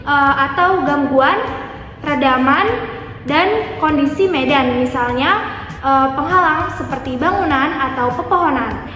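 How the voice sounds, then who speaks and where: A young student explains prepared material in a high female voice. Heavy echo and a long hall reverb surround every word. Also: measured pace, pushed and bright, a little hiss or hum. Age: young adult. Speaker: student presenter. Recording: very echoey hall.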